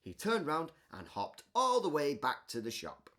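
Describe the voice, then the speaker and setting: Highly animated woman reading a children's story. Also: unhurried. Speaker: storybook reader. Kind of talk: expressive scripted narration for children.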